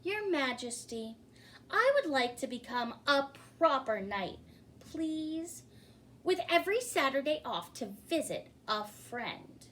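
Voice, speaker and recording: Woman as a brave, high-voiced girl knight. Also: big pitch swings, slow pace, fairly bright tone, slight background noise, exaggerated voice. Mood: kind, resolute.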